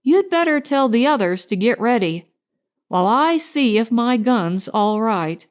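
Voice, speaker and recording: A woman's voice: fairly high pitch, lively intonation, a little slow. A woman voicing a confident, carefree young boy in a storybook, careless and a little authoritative.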